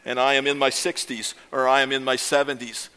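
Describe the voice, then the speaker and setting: An older man, a pastor, giving a measured, reflective sermon with occasional hesitations. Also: mid-range voice, flat delivery, bright, forceful tone, clear, resonant tone, rough recording.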